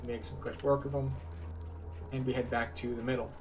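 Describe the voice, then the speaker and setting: A man's voice: medium pitch, moderate pace, over music, low-quality recording. A man giving casual, explanatory commentary as he guides a video game playthrough. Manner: relaxed, explanatory. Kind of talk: casual let's-play commentary.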